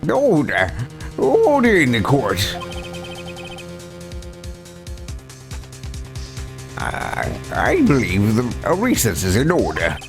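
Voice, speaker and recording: Guy doing an old courtroom judge from a video game, halting n flustered, swoopy voice, loud music behind. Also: medium pitch, huge pitch swings, gravelly, heavily acted. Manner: halting, stammering, ponderous pronouncements. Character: elderly male courtroom judge, stern but easily flustered. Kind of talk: dramatic reading of a video game.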